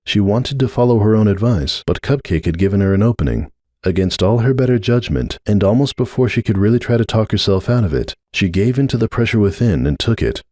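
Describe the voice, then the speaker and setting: A man's voice: on the low side, lively intonation, fairly quick, darkish tone, no room sound, studio quality. A man giving a dramatic scripted narration of a story as its narrator.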